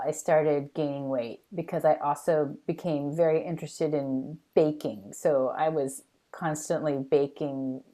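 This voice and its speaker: A woman guest on a podcast, telling stories in an animated, quick way. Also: deep voice. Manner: animated, quick, laughs while recalling. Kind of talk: casual interview chat.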